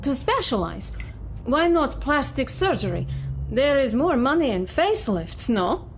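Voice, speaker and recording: Young dancer, high voice, soft-spoken, evasive, radio play. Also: female voice, big pitch swings, a little slow, slight background noise, heavily acted. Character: gentle, evasive young Polish woman dancer. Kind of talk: audio drama acting.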